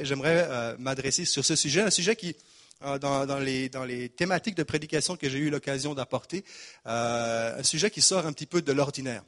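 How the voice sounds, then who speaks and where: A man's voice: medium pitch, a bit bright. A man in his forties from Geneva, with a mixed Swiss French and Québécois accent, preaching a sermon in a chatty, self-deprecating way, hesitating often. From Geneva, Switzerland. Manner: chatty and self-deprecating, frequent "euh" and word repeats. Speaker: pastor.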